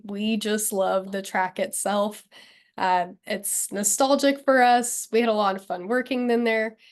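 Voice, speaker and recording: A woman in her thirties from Texas, giving an upbeat, organized webinar presentation. She has a cold, so she sounds slightly nasal. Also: mid-range voice. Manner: upbeat and friendly, clear and organized.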